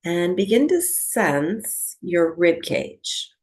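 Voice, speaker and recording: A woman teaching breathwork, giving gentle, unhurried guided relaxation instructions with long pauses between phrases. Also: fairly low pitch, very animated.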